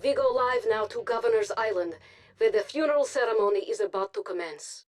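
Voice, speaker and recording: A woman's voice: a little high, brisk, faint music behind, lightly acted. A woman playing a polished, formal TV news anchor who reads state propaganda dramatically in a video game. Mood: formal, grave. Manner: formal, dramatic newsreader delivery. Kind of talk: scripted TV news broadcast.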